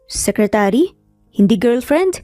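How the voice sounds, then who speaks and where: A woman narrator puts on a sociable, flirtatious Filipino attorney in an audio romance. He jokes playfully in a mellow, close-recorded voice with music beneath. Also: on the high side, sing-song, unhurried, dry recording. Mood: flirty, joking. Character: smooth, flirtatious male attorney, joking and sociable.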